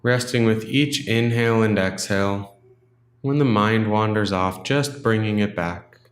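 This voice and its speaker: A man leading a guided meditation in a gentle, unhurried, instructional way, leaving long pauses between phrases. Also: on the low side, roomy sound.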